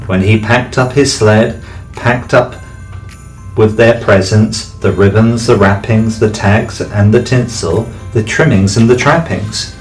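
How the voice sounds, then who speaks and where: A man, a teacher, reading a rhyming bedtime story aloud with expressive delivery. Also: lowish voice, measured pace. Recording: distant mic, faint background music.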